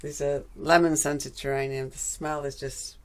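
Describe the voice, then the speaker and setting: Croaky woman, chatty vlogger. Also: deep voice, flat delivery, normal speed, a little hiss or hum. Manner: chatty, rambling, with frequent ums. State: croaky after a cold. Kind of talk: casual gardening vlog chat. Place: garden greenhouse.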